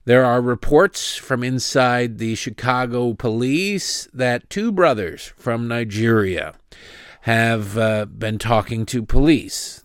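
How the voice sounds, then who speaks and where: Sarcastic male political commentator, dry. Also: no echo. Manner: mocking, sarcastic, animated pitch swings. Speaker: host.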